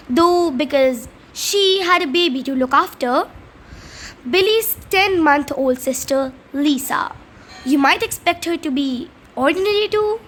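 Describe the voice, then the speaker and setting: High-pitched woman reading a storybook, noisy recording. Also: a bit bright. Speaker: storybook read-aloud channel host. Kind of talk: reading a children's storybook aloud.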